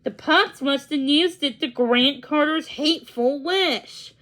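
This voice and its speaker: A woman voicing an eager man on a rescue mission in a storybook read-along, with a brisk, upbeat delivery. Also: high voice, a bit bright.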